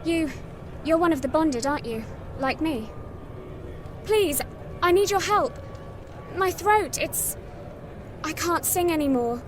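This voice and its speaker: A woman's voice: high-pitched, expressive, slow pace, noisy background. A woman playing a frightened singer in servitude, anxious and pleading, in a video game cutscene.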